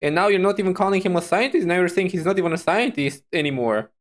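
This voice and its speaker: A woman streamer giving casual, chatty reaction commentary, expressive and often repeating her phrases. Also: fairly low pitch, quick pace.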